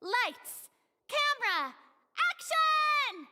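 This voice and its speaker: Casting: flamboyant young film director for a game, very high lively voice, theatrical orders. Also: female voice, drawn-out words, exaggerated voice. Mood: commanding. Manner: theatrical, commanding. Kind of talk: video game voice acting. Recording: long reverb, faint music behind.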